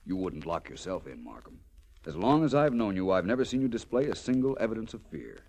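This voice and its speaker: A low-pitched, suave gentleman detective from a vintage radio mystery. His intonation swings freely, and he speaks with wry, precise self-assurance. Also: very animated, brisk, heavily acted. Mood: wry, assured. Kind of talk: 1940s radio detective drama acting. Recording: old 1940s radio transcription.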